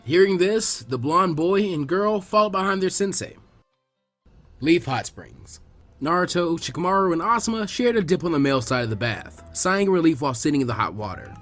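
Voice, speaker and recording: A man reading fan fiction aloud as an expressive story narrator. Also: on the high side, brisk, faint music behind.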